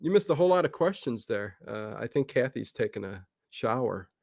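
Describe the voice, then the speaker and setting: A man's voice: mid-range voice, very animated, fairly quick, creaky, a little mellow, dry recording, clean studio sound. Middle-aged man in rambling casual chat. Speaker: livestream show host being interviewed.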